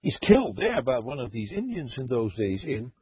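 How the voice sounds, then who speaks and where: A man calling into an online voice chat show, telling a story in a halting, rambling way with many fillers and frequent laughs. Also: medium pitch, big pitch swings, muffled recording. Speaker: caller.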